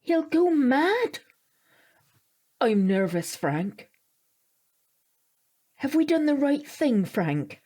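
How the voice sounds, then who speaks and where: A slow, high, fretful mother. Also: sing-song, mellow, full character voice. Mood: nervous. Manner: fretful, hesitant, breaking into whispers. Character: anxious, worn-down mother frightened of her son. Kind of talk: audio drama acting.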